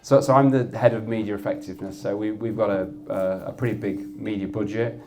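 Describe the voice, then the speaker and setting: A man with a Yorkshire accent and a loud voice, speaking as a guest on a live industry panel and often restarting his sentences as he thinks. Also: on the low side, steady tone, fairly quick. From Yorkshire, England. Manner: thoughtful, with frequent restarts and repeated words. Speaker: head of media effectiveness, guest. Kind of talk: panel discussion at an industry event.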